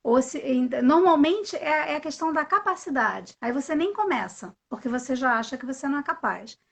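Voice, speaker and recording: A woman who teaches English, hosting a live video call and explaining in a casual, animated way, often asking questions and giving examples. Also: high voice, fairly quick, gravelly.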